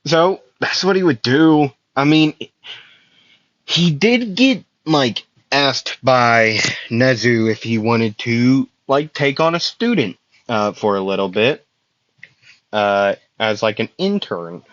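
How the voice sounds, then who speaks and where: A man's voice: mid-range voice, lively intonation, slow pace, no room sound, muffled recording. A young man casually telling a fan-made anime story, rambling with fillers and frequent laughs, his voice getting worn out. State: losing his voice.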